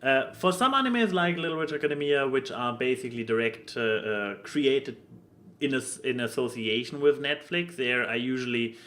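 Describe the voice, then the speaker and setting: A man from Germany with a German accent, chatting casually as a podcast co-host in a halting, rambling way. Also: mid-range voice, a bit bright, a little hiss or hum. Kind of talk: casual chat.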